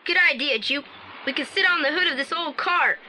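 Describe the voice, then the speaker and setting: A male actor playing an excitable, wary teenage boy sidekick who talks bluntly and colloquially in an audio drama. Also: extremely high pitch, a little fast, exaggerated voice. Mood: keen. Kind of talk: 1980s audio drama acting. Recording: slightly noisy old audio play transfer.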